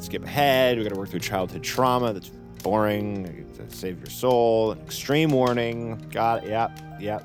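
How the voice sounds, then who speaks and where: An actor playing a cocky captive in a psychiatric facility, flippant and rambling, as in an immersive audio drama. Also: male voice, on the low side, natural voice. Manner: flippant, rambling, muttering, self-interrupting. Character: cocky, wisecracking male psychiatric captive addressing the listener. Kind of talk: immersive audio drama acting, conversational asides. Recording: music behind the voice.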